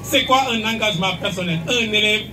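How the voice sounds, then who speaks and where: A man's voice: high-pitched, fairly quick, a crowd in the background. A man, a school official lecturing students at a school event in a teaching tone, repeating key points and asking rhetorical questions. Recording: distant mic with loud background noise.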